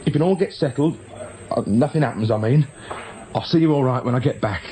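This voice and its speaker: A man's voice: medium pitch, fairly quick, mellow, close to an everyday voice, rough recording. A man acting a nervous, evasive small-time crook with a British accent in a radio drama, stammering and justifying himself. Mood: pleading. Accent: British English.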